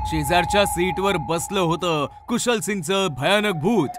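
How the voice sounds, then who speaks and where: High-voiced male horror narrator over loud music. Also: measured pace. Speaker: story narrator. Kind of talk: dramatic scripted horror narration.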